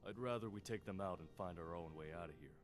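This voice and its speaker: Game role: man as suspicious but loyal sidekick, very deep, fast-talking, guarded. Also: close to an everyday voice. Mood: wary, reluctant. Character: wary, hard-nosed male companion, reluctantly loyal. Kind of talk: video game dialogue.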